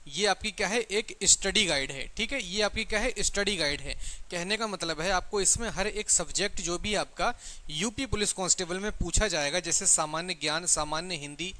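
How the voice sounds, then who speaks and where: A man's voice: high-pitched, normal speed, pushed and bright. A man giving an informal promotional walkthrough of study books, repeating himself and checking in with the listener.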